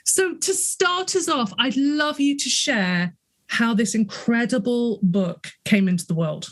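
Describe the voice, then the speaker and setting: A woman's voice: on the high side, animated, unhurried. A woman hosting a podcast interview over a video call, effusive and gushing with praise. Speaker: podcast host. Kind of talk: interview conversation.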